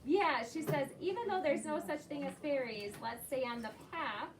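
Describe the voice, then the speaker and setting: Woman teacher, fairly high voice, prompting and encouraging answers in a read-aloud lesson; roomy, distant recording. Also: normal speed. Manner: questioning, prompting, affirming children's answers. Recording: echoey room, distant mic, clear background noise.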